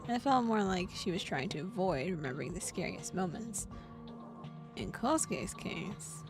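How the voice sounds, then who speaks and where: A young adult woman streaming, narrating a visual novel aloud and breaking into excited, laughing reactions. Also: medium pitch. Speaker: VTuber streamer.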